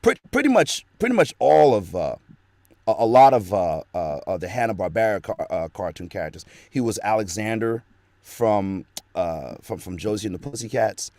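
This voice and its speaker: A middle-aged man with a fairly low voice talks as a guest on an interview show. He reminisces in a loose, rambling way and keeps circling back to restart his thoughts. The sound is close and dry, with no room echo. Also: sing-song, moderate pace, a little mellow. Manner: rambling nostalgic storytelling, many restarts. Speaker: guest voice actor. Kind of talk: casual interview chat.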